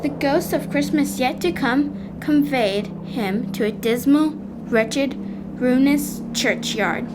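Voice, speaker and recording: A third-grade child narrating a school radio play, reading slowly and carefully. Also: female voice, high voice, background noise.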